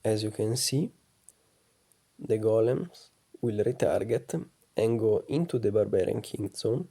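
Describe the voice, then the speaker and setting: A man with a low, soft voice giving calm, methodical gameplay commentary as a voice-over. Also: vocal fry, husky. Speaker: gaming channel host.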